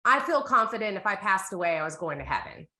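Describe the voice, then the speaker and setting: An American woman in her thirties with a bright, mid-pitched voice. She speaks candidly and casually about her own life as a co-host, in a roomy, echoing space. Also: big pitch swings, quick pace. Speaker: co-host of a women's Bible reading group. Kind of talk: candid, casual personal testimony.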